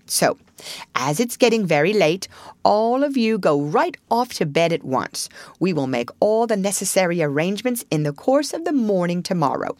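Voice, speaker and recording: A woman voicing a stern older male badger from a storybook, with a dry, authoritative and deliberate delivery. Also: mid-range voice, sing-song, darkish tone, no echo, natural voice. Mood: dry, bossy. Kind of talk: storybook character voice.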